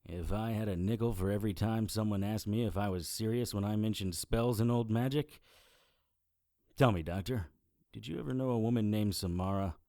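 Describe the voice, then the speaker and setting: A man's voice: lowish voice, fairly quick, no echo, close to an everyday voice. An actor playing a dry, wry male occult investigator who narrates his case in an audio drama. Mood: probing. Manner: deadpan, wry. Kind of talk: first-person detective audio drama narration.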